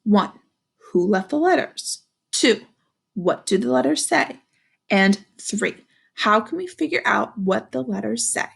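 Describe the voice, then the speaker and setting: A woman's voice: fairly high pitch, lively intonation, unhurried, vocal fry, husky, full character voice. Woman reading as an earnest, puzzled young girl. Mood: thoughtful, organizing. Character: young girl, sensible, puzzled dog owner working out mysteries. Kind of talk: children's storybook read-aloud.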